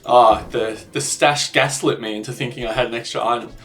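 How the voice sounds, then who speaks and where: A man, slightly croaky from a cold, commentating his own gameplay and thinking aloud about strategy in a self-deprecating way. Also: mid-range voice, steady tone, a little fast, a bit bright, distant mic, soft background music. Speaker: gaming channel host.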